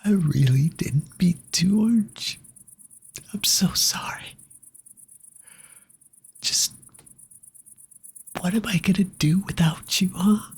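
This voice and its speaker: Casting: male actor for lonely, tender orphan in ASMR roleplay; very husky, near-whispered delivery, slow and intimate, heavy with grief. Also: sits high, exaggerated voice. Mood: anguished, pleading. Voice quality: breathy, often dropping into whisper. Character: grieving, tender lonely orphan comforting a sick pet cat. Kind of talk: soft ASMR roleplay acting.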